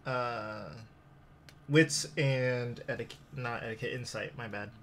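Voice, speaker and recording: A man's voice: mid-range voice, steady tone, a little slow, a bit bright, slight background noise. A man acting as game master, narrating a tabletop roleplaying game in a casual, conversational style.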